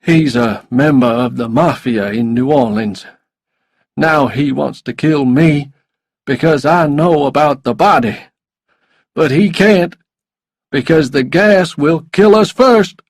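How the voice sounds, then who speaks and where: A man voicing a desperate, drunken, bitter lawyer in loud, forceful outbursts for an audiobook. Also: fairly high pitch, slow, no echo, studio quality, voice pushed up. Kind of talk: audiobook character voice.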